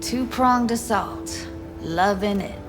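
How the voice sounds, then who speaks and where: Woman playing young streetwise game girl, slangy, caring, mid pitch, music behind her. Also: slow, lightly acted. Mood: eager. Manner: informal, slangy. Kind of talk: video game cutscene acting.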